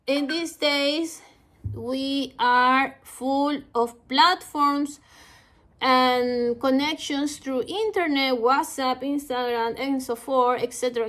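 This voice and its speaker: A woman with a Spanish accent, a native Spanish speaker, giving a casual introduction to her language lessons with many pauses and fillers. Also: high-pitched, a bit bright. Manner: halting, many fillers and restarts. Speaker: Dutch language teacher.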